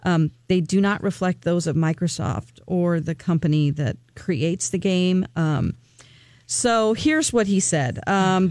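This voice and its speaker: A woman's voice: on the low side, a little mellow, no room sound, studio quality. A woman co-hosting a radio morning show, chatting breezily through a news story with quoted asides. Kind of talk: casual news chat on air.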